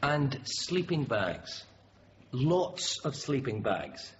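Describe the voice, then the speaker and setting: A man's voice: medium pitch, sing-song, drawn-out words. An actor playing a tense armed man holding a classroom hostage, barking short orders. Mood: demanding. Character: armed male hostage-taker, tense, demanding. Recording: dull noisy radio drama recording.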